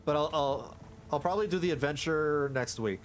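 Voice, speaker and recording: A man streaming a game, rambling casually with excited outbursts and stammering restarts. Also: a little high, fairly quick, a little hiss or hum. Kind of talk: casual live gameplay commentary.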